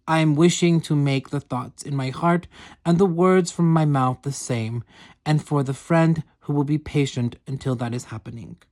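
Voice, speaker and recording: A woman's voice: sits low, normal speed, a little mellow, close to an everyday voice. Earnest young yeti girl, read by a woman: blunt, formal, broken English, told as a diary. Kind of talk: reading diary entries aloud.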